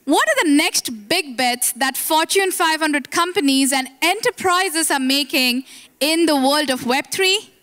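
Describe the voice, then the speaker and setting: High bright-voiced woman announcing a panel. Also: expressive, measured pace. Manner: formal, introducing speakers. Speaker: event host. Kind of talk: stage announcement. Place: conference stage.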